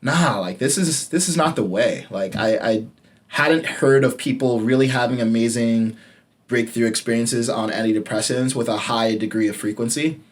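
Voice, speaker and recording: Young guy podcast host, candid and casual, swears a lot, gets quiet and low on the big points. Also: moderate pace. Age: late 20s. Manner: candid and casual, swears freely, drops to a low murmur for emphasis. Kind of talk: personal storytelling monologue.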